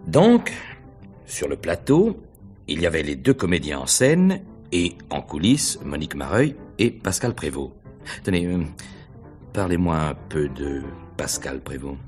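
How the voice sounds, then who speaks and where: Deep-voiced, wry investigator. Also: male voice, sing-song, measured pace, darkish tone, soft background music, heavily acted. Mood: probing. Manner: insinuating questions, hesitant repetitions, knowing chuckles. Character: probing, wry male police investigator, middle-aged. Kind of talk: 1950s French radio mystery acting.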